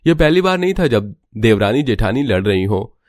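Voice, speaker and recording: A man narrating a cartoon family story in a steady, scripted storytelling manner. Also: mid-range voice, normal speed, no room sound, studio quality.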